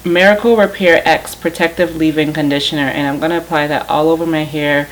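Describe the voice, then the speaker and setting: A woman's voice: sits low, fairly even intonation, brisk, slight background noise, rough recording. A woman in her late twenties vlogging casually, rambling and repeating herself with lots of fillers. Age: 20s. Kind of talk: casual chat while doing her hair.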